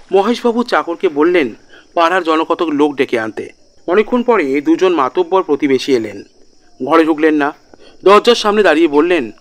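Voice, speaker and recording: A man narrating a scripted literary audio story as the storyteller. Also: fairly high pitch. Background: background music.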